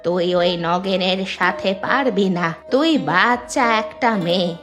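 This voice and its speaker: Woman as slow, low-pitched cautious old granny. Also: music in the background, natural voice. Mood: cautioning. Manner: slow, admonishing. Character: cautious old village granny, protective and scolding. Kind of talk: children's cartoon dialogue.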